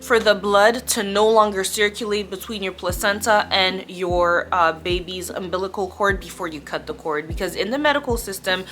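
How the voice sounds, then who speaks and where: A young adult woman speaking as a vlogger in a casual storytime, candid and chatty with expressive emphasis. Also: medium pitch. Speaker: vlogger telling her birth story. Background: background music.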